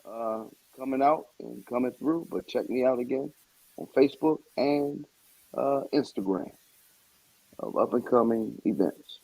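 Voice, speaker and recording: A man with a gravelly, creaky voice talks haltingly on a video call, filling pauses with ums and uhs. The sound is dry and close, with no room around it. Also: medium pitch, darkish tone, no room sound, poor audio. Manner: halting, many ums and uhs while listing plans. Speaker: comedian and actor. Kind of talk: casual video call interview.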